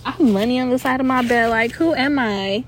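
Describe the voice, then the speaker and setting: A woman's voice: on the high side, fairly quick, a little hiss or hum. A young woman with a stuffy nose, rambling casually at home as a podcast host, tripping over her words and swearing now and then.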